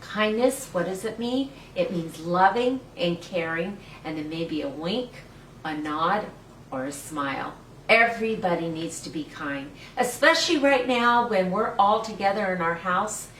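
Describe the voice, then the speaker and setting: A woman's voice: medium pitch, expressive, a little slow, fairly bright tone. An older woman hosting a children's story time, reading and chatting playfully and encouragingly in simple words for small children. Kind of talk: read-aloud storytelling with chat to young children. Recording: roomy, distant mic with faint noise.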